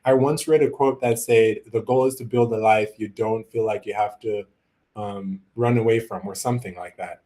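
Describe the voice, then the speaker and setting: A man from Malawi, a native Chichewa speaker, giving earnest, reflective interview answers in a halting, conversational way. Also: on the low side, fairly even intonation, dark tone. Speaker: interview guest, musician and visual artist. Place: his art studio, on a video call.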